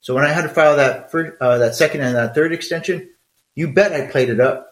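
A man's voice: on the high side, brisk, echoey room. A middle-aged man with a Mexican accent, talking frankly and conversationally in a solo podcast, with rambling asides. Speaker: podcast host.

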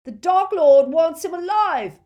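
A woman's voice: fairly low pitch, measured pace. Woman doing snobby teen boy rival in an audiobook, curt, brittle, impatient. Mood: alarmed, commanding. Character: haughty, brittle, impatient teenage schoolboy rival. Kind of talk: audiobook character voice.

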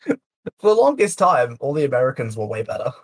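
A young man from Yorkshire with a light Yorkshire accent, chatting casually as a guest, soft-spoken and a bit hesitant. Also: medium pitch, very animated, fairly quick, breathy edge, no echo, studio quality. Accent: light Yorkshire English. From Yorkshire, England. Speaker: speedrunner guest. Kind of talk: casual chat.

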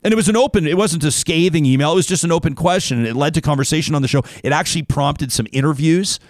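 A man's voice: fairly high pitch, fast, dry recording. A man hosting a talk radio show, reading ads and a listener's email in a studio in an upbeat, conversational way. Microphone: studio microphone.

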